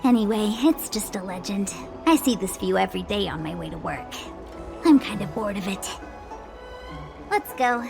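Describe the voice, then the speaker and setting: An actress playing a breezy young slacker woman, a playful guide in a video game, with an expressive, theatrical manner. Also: mid-range voice, normal speed, gravelly, long pauses. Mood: bored, resigned. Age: young adult. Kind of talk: animated video game voice acting. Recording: game music behind the voice. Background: background music.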